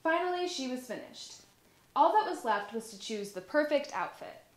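Animated young woman reading a story, echoey. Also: sits high, normal speed. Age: young adult. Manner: animated, acts out dialogue. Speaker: storybook reader, high school senior. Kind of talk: expressive children's story read-aloud. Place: living room, indoors. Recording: roomy indoor echo.

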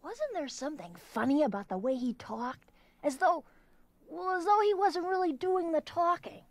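A woman's voice: very high-pitched, animated, moderate pace. A woman acting a curious, bold young child in an audio drama.

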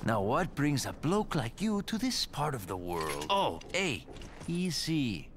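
An actor playing a cheeky, flippant Spanish rogue in a video game, with a Spanish accent and a teasing, wry manner. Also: male voice, medium pitch, sing-song, takes it slowly. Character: cheeky roguish Spanish male captive, flippant charmer. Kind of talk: video game cutscene acting. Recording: game ambience noise behind.

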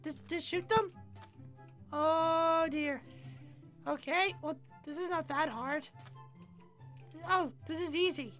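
A woman playing an excitable, easily flustered young cartoonish heroine in a Minecraft roleplay, exclaiming and repeating her phrases. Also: high voice, takes it slowly, pauses often, faint music behind. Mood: flustered muttering. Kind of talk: Minecraft roleplay gameplay acting.

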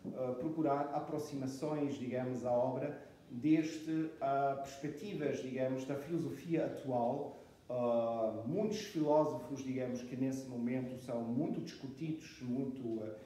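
A man's voice: medium pitch, unhurried, dark tone, very echoey. A man introducing a guest speaker at a lecture, hesitant with many fillers, recorded from far off in a small room. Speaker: event host introducing the speaker. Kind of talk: spontaneous introduction. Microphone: far camera.

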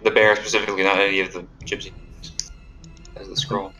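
A man's voice: low voice, flat delivery, brisk, bright, forceful tone. A man playing a tabletop game, chatting casually in a playful, questioning way. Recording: music behind the voice. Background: background music.

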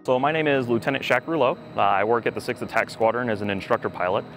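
A man's voice: medium pitch, fast, fairly bright tone, soft background music. A man, an instructor pilot, introducing himself matter-of-factly, recorded from a distance in a large hangar. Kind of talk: on-camera introduction. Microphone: camera at a distance.

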